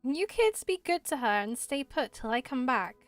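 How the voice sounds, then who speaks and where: A woman voicing a kindly, protective young village woman in a game, gentle and mildly scolding. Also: high-pitched, sing-song, moderate pace, dry recording, pitched below the performer's own voice. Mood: gentle, reassuring.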